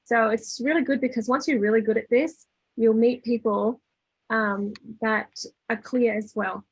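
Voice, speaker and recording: A woman's voice: a little high, fairly even intonation, measured pace, slightly rough, no room sound, rough recording. A woman giving a casual inspirational talk, conversational, telling anecdotes with acted-out dialogue and checking in with her listeners. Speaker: speaker leading a spiritual talk.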